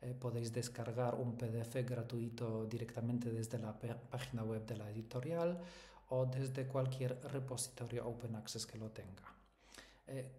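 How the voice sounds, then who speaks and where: Mellow-voiced Polish academic man, echoey room. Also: medium pitch. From Poland. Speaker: academic, Hispanist researcher. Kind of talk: prepared academic book presentation.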